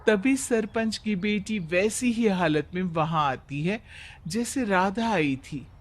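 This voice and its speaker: A high-voiced man tells a scripted moral tale as narrator. He takes it slowly, setting out each part in turn. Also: animated, slight background noise.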